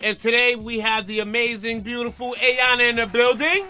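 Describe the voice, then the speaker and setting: A man hosting the show, opening with loud hype and asking laid-back questions. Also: extremely high pitch, steady tone, normal speed. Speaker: host. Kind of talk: hype show intro and interview.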